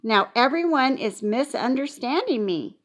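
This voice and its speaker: A woman reading an audiobook and voicing a patient female schoolteacher who explains things slowly to young children. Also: high voice, lively intonation, voice pushed up. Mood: explaining. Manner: slow, measured, explaining to children.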